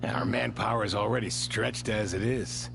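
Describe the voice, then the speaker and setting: Weary, gravelly army sergeant. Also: male voice, lowish voice, fairly even intonation, moderate pace, background noise. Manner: weary, matter-of-fact. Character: weary, dutiful male military sergeant major, frank. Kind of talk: video game voice acting.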